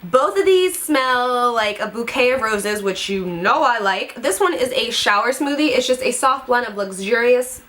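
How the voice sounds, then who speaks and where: A woman's voice: a little high, lively intonation, normal speed, hardly any pauses, a bit bright. Bubbly, chatty young woman vlogger. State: congested from a head cold. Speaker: beauty vlogger. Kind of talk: casual chat to camera.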